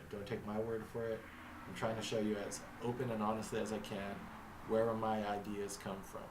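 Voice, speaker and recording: Low, flat-voiced man in an echoey room. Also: recorded from a distance, noisy background. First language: Arabic. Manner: halting, thinking aloud with restarts. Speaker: vlogger narrating his own story. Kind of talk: reflective monologue, reading from notes. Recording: echoey room, mic set back.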